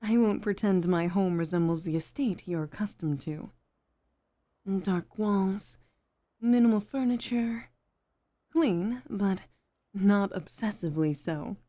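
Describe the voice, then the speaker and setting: A woman playing a poised, gentle head maid off duty in an intimate ASMR roleplay, speaking with formal, measured politeness. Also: medium pitch, lightly acted. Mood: gentle, welcoming.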